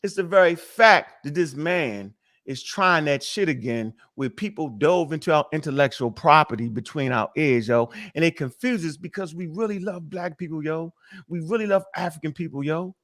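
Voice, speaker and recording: A fired-up man hosting a talk show, his voice sitting a touch high. He rants profanely and keeps restarting his sentences as he pushes his point. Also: normal speed, a bit bright. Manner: fiery, profane, rambling with many restarts. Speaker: show host. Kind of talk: heated casual talk show rant.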